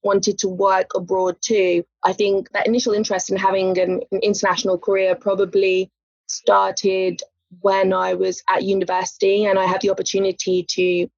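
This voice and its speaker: A woman's voice: mid-range voice, completely flat delivery, normal speed, creaky, dry recording. Chatty woman, muffled dry audio. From England. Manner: chatty, with light laughs and frequent "you know" fillers. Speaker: lawyer and podcast guest. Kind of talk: casual interview conversation.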